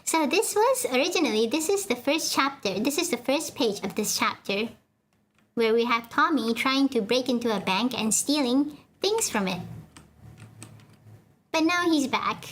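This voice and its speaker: A woman's voice: high-pitched, animated, normal speed, a bit bright, a little hiss or hum. A woman streamer reading a comic aloud in chatty, giggly commentary to her chat. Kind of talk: casual readalong commentary.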